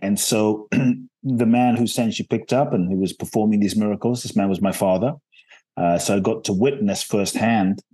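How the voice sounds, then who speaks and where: Podcast guest, man, low husky voice, earnest and reflective. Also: warm tone, low-quality recording. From Ghana. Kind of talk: podcast interview storytelling.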